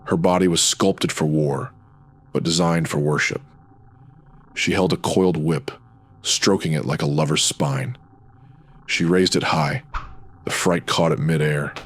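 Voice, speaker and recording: Very deep-voiced male noir narrator. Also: a bit bright, breathy edge. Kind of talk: dramatic scripted noir narration.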